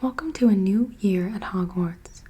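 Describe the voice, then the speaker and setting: A woman's voice: mid-range voice, normal speed, mellow, slightly rough, close to an everyday voice. A woman voicing a cheerful, eccentric old male headmaster wizard, whispering. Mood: genial.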